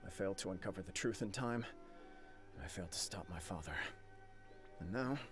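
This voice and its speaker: A man voicing an earnest, self-blaming young clan leader in a video game, formal, grave and measured. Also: sits low, lively intonation. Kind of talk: dramatic game dialogue. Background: soft game music.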